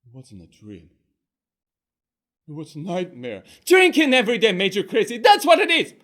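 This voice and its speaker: A man voicing a fishmonger's wife in a one-performer comic tale, scolding her drunken husband in a sharp, exasperated manner. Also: sits high, wildly swooping pitch, normal speed, exaggerated voice.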